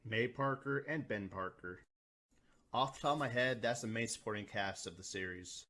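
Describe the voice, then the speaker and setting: A man's voice: fairly low pitch, normal speed, bright, forceful tone, faint music behind. A man hosting a scripted video review, talking in a colloquial, mildly profane way. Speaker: reviewer, host.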